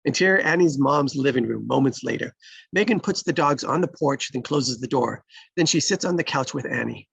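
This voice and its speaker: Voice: medium pitch, animated, moderate pace, vocal fry, a bit bright, breathy edge, no echo. A narrator reading screenplay stage directions aloud in a scripted table-read style.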